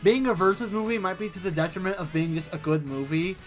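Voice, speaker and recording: A woman co-hosting a casual film review podcast, talking in halting bursts with frequent restarts. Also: lowish voice, soft background music. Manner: halting, restarts, laughs often. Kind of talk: casual chat.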